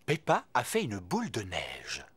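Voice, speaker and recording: A woman narrating a children's cartoon as a calm storyteller, in simple, expressive phrases. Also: rumbling low, unhurried, exaggerated voice. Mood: storytelling. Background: music.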